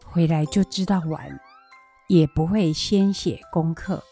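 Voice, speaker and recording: A woman's voice: sits low, measured pace, vocal fry, dark tone, faint music behind. A woman acting a weary, worried old grandmother, plain-spoken and mildly scolding, in a radio drama.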